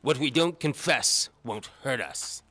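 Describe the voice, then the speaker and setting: A man's voice: mid-range voice, expressive, unhurried, sharp tone, pitched above the performer's own voice. A man voicing a snide, taunting henchman in an audio drama, with music behind him. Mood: snide, threatening. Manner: taunting, menacing. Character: snide male thug henchman of a bishop.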